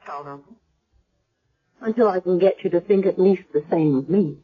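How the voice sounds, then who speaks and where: A woman's voice: on the low side, moderate pace, husky. An actress playing a self-assured female hospital doctor with dry, pointed retorts in a 1970s radio drama. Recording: dull old radio broadcast.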